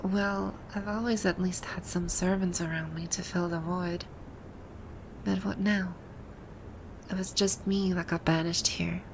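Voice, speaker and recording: A woman's voice: fairly low pitch, steady tone, a little slow, vocal fry, noisy background. A woman playing a haughty, easily flustered female final-boss villain from a video game in an ASMR roleplay, with an imperious, indignant manner. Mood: guarded, wistful. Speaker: voice actor.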